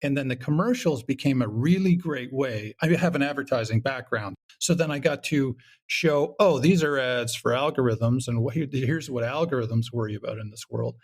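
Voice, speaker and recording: A man's voice: mid-range voice, normal speed, hardly any pauses, slightly rough. A man talking casually as an interview guest, wry and rambling, often acting out little quotes. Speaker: podcast guest, comedy show creator.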